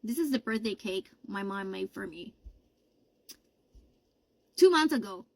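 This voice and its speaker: A woman's voice: medium pitch, animated, a little slow, creaky, a little mellow, no echo. A woman of thirty talking casually in a social media clip.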